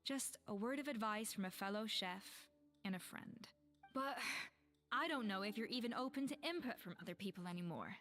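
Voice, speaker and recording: A woman's voice: mid-range voice, lively intonation, moderate pace, a bit bright, faint music behind, natural voice. A woman playing a proud, principled young head chef in an anime-style video game, composed and earnest with a weary restraint. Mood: stern, sincere.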